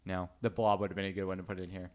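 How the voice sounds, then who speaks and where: A man's voice: low voice, flat delivery, racing, clean tone. Jokey man hosting a podcast quiz. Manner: jokey, slangy, conversational. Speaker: podcast host, quizmaster. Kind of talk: casual joking banter.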